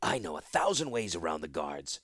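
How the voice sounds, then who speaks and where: A man's voice: low-pitched, very animated, normal speed, fairly bright tone, no echo, clean studio sound, heavily acted. A man voicing a sick, bandaged male rebel leader who speaks urgently and insistently.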